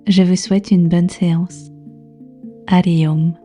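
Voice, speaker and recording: A woman with a soft, breathy voice leading a guided relaxation as a yoga nidra instructor, soothing, unhurried and gently instructing. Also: on the low side, level tone. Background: background music.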